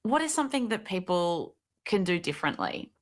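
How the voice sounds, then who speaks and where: A woman's voice: fairly low pitch, big pitch swings, normal speed. A woman moderating a panel discussion, putting upbeat, inviting questions to each speaker in turn.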